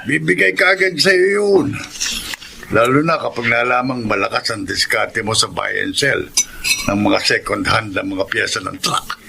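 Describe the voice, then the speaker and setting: An actor playing a sly, pleasure-seeking Filipino pal who coaxes and insinuates in a radio drama. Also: male voice, lowish voice, normal speed, gravelly, sharp tone, slight background noise, heavily acted. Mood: sly, coaxing. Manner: insinuating, coaxing.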